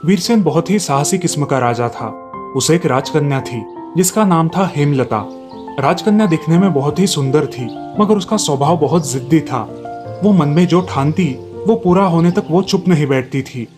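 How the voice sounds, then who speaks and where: Guy narrating a fairy tale, fairly high voice, music underneath. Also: lively intonation, moderate pace. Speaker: story narrator. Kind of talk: scripted narration.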